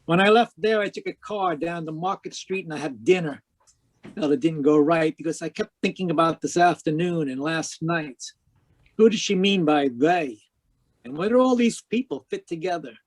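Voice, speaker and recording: Casting: male actor for hardboiled 1940s radio detective, lighter-pitched voice, dry wisecracks, world-wise and cynical. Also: close to an everyday voice. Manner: wry, terse, slangy delivery.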